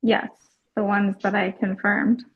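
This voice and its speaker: Slow-spoken woman witness, dull audio. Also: mid-range voice, level tone, husky. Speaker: witness testifying. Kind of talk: brief formal testimony answers.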